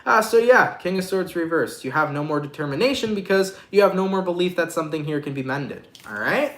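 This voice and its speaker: A man doing a casual tarot reading in a lively, slangy, affectionate way, sounding congested and nasal. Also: a little high, brisk, reverberant. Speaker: tarot reader.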